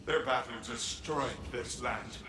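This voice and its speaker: An actor playing an earnest, lovelorn male spirit in a video game, telling things in halting, dramatic, fervent phrases. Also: medium pitch, background noise, natural voice. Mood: awed, intense. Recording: echoing voice with music behind.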